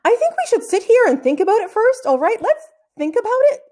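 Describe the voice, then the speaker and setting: Lady doing a scared careful schoolboy from a kids book, high and urgent, pleading. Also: sing-song, a little fast, warm tone. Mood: frightened pleading. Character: anxious, cautious schoolboy, easily frightened. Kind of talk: children's storybook read-aloud.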